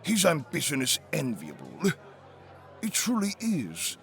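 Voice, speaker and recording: An actor playing an effusive, flattering family friend at a party, gushing theatrically in an audio drama. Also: male voice, a little high, measured pace, soft background music, some chatter behind, heavily acted. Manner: gushing, theatrical society talk.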